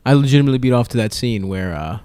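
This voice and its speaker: A man's voice: medium pitch, lively intonation, rapid-fire, dark tone, smooth, no echo, clean studio sound. A man hosting a comedy podcast, teasing his guest and laughing often. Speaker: podcast host. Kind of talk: casual podcast banter.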